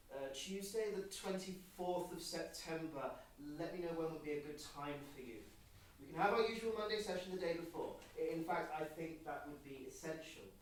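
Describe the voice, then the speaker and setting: A man acts out an earnest therapist in a naturalistic audio drama. His voice sits a little high and is heard from a distance in a very reverberant space. He speaks with measured hesitancy and gentle insistence. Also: close to an everyday voice. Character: earnest male therapist leaving a concerned voicemail for client. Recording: very echoey, distant, faint noise.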